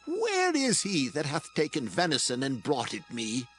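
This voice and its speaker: A man's voice: on the high side, wildly swooping pitch, unhurried, faint music behind. An actor playing an aged, solemn patriarch father in a dramatized scripture reading, speaking with emotion. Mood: shaken, grieved. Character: aged patriarch father, solemn, trembling, blessing his son.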